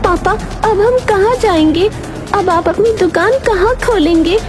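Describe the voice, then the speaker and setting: A man's voice: extremely high pitch, measured pace, pitched above the performer's own voice. A man voicing a small, frightened daughter who asks worried, pleading questions. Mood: scared. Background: background music.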